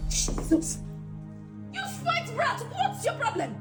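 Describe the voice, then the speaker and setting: A woman's voice: extremely high pitch, huge pitch swings, takes it slowly, a bit bright, faint music behind, full character voice. A young woman with a Nigerian accent playing a wronged housemaid in a family drama. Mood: indignant. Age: young adult. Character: wronged young housemaid, indignant with a child, meek to employers. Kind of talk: naturalistic screen drama.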